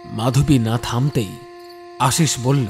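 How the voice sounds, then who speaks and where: A man's voice: medium pitch, steady tone, slow, background noise. A man giving a dramatic scripted narration as the storyteller of a horror tale. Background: music bed.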